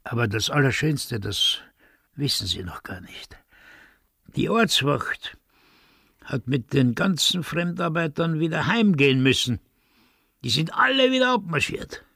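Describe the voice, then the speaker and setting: A creaky-voiced villager, wary and resigned. He confides haltingly and deliberately in a close, dry radio drama recording. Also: a little high, big pitch swings, bright, forceful tone, dry recording, full character voice. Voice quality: creaky, gravelly. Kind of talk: radio play acting.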